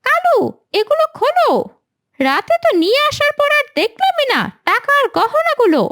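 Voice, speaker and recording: Scheming cartoon crow: extremely high, wildly animated, greedy and gossipy. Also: female voice, moderate pace, dry recording, studio quality, heavily acted. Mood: greedy, excited.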